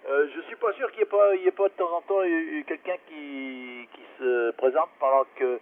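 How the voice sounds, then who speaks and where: A man's voice: fairly high pitch, normal speed. Man on a distant, phone-like radio link. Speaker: amateur radio operator. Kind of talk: casual radio chat.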